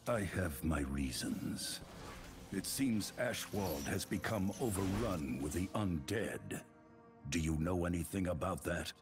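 Serious fantasy game hero, deep voice, firm n no nonsense. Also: male voice, lively intonation, measured pace, over music. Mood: resolute. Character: stoic, resolute male adventurer hero.

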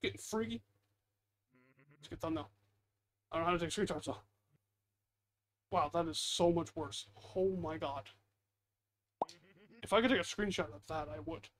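A man's voice: fairly high pitch, moderate pace, long pauses, fairly bright tone. A man giving casual, rambling, self-deprecating gameplay commentary as the host, muttering into a headset mic at home. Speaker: gaming video host.

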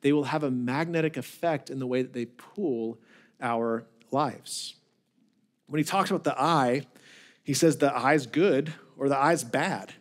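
A man in his thirties, a pastor, preaching a conversational, animated sermon to a seated audience in a large hall, often repeating words as he thinks. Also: mid-range voice, unhurried.

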